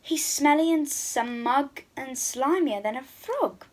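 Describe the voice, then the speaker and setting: Woman reading as an indignant, high-pitched young princess. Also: expressive, slow. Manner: snappy, indignant. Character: furious, stubborn young princess, girl. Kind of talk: children's storybook reading.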